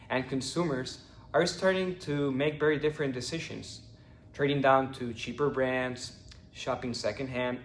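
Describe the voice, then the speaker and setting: Young man, from Ecuador, student presenter, steady prepared delivery, echoey room. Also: medium pitch, a little hiss or hum. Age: young adult. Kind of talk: class presentation.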